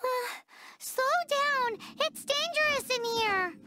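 A woman's voice: very high voice, expressive, slow, heavily acted. A woman voicing a tiny, childlike floating fairy companion who is fretful and easily startled, in an anime-style video game. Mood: flustered. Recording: game music behind the voice.

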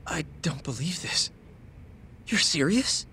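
A man's voice: a little high, lively intonation, slow, pushed and bright, a little hiss or hum, full character voice. A man playing a weary, downcast prisoner in a video game cutscene, hesitant and subdued. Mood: disbelieving.

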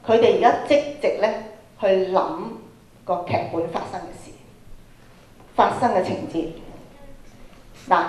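A woman's voice: fairly high pitch, a little mellow. A woman with a Hong Kong Cantonese accent teaching a workshop to students, prompting them with questions and repeating phrases for emphasis, speaking on a handheld microphone in a large auditorium. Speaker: workshop speaker, radio drama trainer. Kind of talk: interactive lecture to students. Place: large school auditorium, indoors. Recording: echoey auditorium PA sound.

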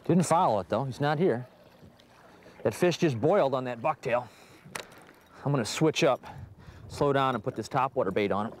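A man's voice: medium pitch, expressive, normal speed, long pauses, a little hiss or hum. A man in his thirties hosting an instructional fishing show from a boat on a lake, upbeat and coaxing as he talks the viewer through his method. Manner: upbeat, coaxing the fish while reeling. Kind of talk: instructional outdoor fishing talk. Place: small boat on an open lake outdoors.

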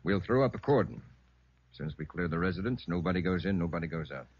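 An actor playing a gruff, hard-bitten police chief in 1950s radio drama, giving orders in a terse, authoritative way. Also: male voice, deep voice, expressive, fast, no echo. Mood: stern, commanding. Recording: dull old radio recording.

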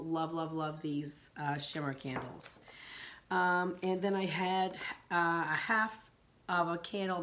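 Hoarse woman, rambling chat. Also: lowish voice. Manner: rambling with many ums and restarts. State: hoarse from a sinus infection. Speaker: vlogger. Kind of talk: casual chat reviewing candles. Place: living room indoors.

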